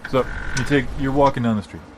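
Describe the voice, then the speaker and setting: A man playing a stubborn, opinionated rambler in improvised comedy, arguing bossily in a halting, repetitive way. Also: medium pitch, natural voice. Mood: earnest, rambling. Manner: bossy, long-winded, argumentative. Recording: background noise, informal non-studio room.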